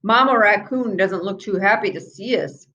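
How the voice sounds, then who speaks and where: A woman voicing curious, eager young boys in a children's storybook, with an excited, questioning manner. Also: medium pitch, moderate pace, highs cut off, lightly acted. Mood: curious, puzzled.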